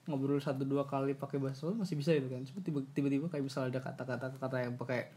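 A man's voice: medium pitch, fairly even intonation, rapid-fire, warm tone. A young man from Kebumen with a Banyumasan ngapak Javanese accent, chatting casually as a student guest on a podcast. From Kebumen, Central Java. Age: young adult. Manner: loose storytelling with fillers and asides. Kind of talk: casual chat.

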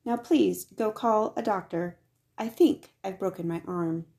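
A woman voicing a kindly adult female librarian in a storybook, calm, polite and gently firm about rules. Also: medium pitch, measured pace, lightly acted.

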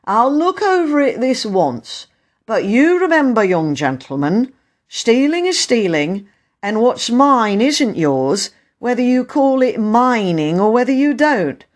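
A woman acts out a gruff man in charge of a railway station, from a storybook. He scolds firmly in old-fashioned phrases, with kindness underneath. His delivery swings widely in pitch, lifted well above the narration. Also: sing-song, slow pace. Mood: firm, kindly. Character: gruff adult male station master, stern but softening, kindly. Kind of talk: storybook character voice.

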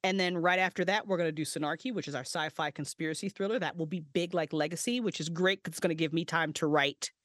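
A woman voice actress and writer, a podcast guest, talking excitedly and swearing freely about her projects. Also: low-pitched, fast talker, few pauses, no room sound, studio quality. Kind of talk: casual podcast interview. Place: small handmade vocal booth.

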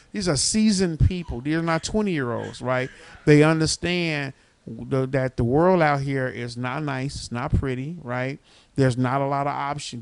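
Casting: male host in his 40s, middle-pitched, emphatic conversational coach who keeps seeking agreement. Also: darkish tone. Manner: emphatic, seeks agreement with repeated tags.

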